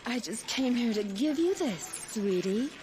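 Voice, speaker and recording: A woman's voice: on the high side, expressive, unhurried. A woman voicing a sly, sugary official in a game cutscene, coy and condescending. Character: sly, sugary female official offering a deal.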